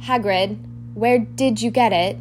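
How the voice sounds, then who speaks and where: A woman's voice: a little high, a little slow, faint music behind, close to an everyday voice. A woman voicing a plain-spoken schoolboy character in a storybook. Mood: amazed. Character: wide-eyed schoolboy, plain-spoken and weary.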